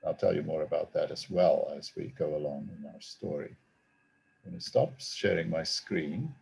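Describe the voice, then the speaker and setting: Middle-aged South African male academic; dark, gravelly voice, thoughtful and measured, speaking over a video call. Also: a bass voice, slight background noise. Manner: measured, thoughtful, with frequent ums. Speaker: theology professor and author. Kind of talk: online book talk.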